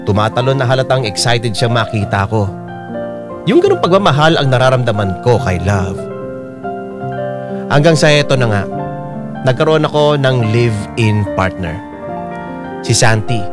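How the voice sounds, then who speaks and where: A man's voice: medium pitch, sing-song, normal speed, long pauses. A man narrating a personal letter as dramatic radio storytelling. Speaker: narrator reading a listener's letter. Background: piano music.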